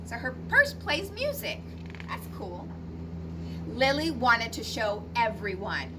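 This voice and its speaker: A woman teacher reading a storybook aloud to children, with expressive, deliberate phrasing and little explanatory asides. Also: a little high. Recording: noisy recording, distant from the mic.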